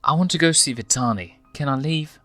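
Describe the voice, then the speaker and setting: Man doing a plaintive, bright young lion cub. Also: fairly high pitch, brisk, dry recording, soft background music, pitched above the performer's own voice, close to an everyday voice. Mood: complaining. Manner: plaintive, questioning. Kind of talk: storybook character reading.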